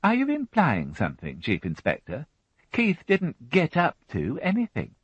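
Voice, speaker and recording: A man's voice: on the high side, wildly swooping pitch, normal speed, husky, no room sound, pitched above the performer's own voice, heavily acted. A man voicing a fussy, prim middle-aged housewife who chatters and turns indignant, in a storybook reading. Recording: dull, dry studio audiobook.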